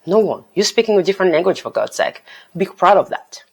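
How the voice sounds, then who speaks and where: A woman's voice: on the low side, lively intonation, a little fast, slightly rough. A woman with a French accent, a native French speaker giving casual, emphatic language-learning advice to the camera. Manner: switches between English, French and Italian, emphatic and encouraging. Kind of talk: casual talking-head advice.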